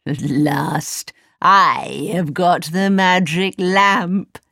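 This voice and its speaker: A slow, gloating old wizard from a storybook, as a woman reads him. He drawls every word in a low, scheming voice. The sound is dry and close. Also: sharp tone, no room sound, heavily acted.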